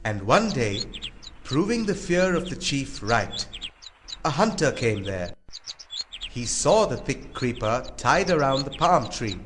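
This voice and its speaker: A man's voice: on the high side, very noisy. A man narrating a scripted story for children. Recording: loud music behind voice.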